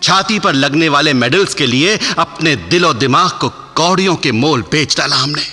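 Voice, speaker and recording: An actor in a radio play voicing a proud, defiant young Indian soldier, declaiming with deliberate, impassioned patriotism. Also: male voice, fairly high pitch, lively intonation, pushed and bright, slightly rough, full character voice. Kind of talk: radio drama acting. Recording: echoing hall, music underneath, radio play.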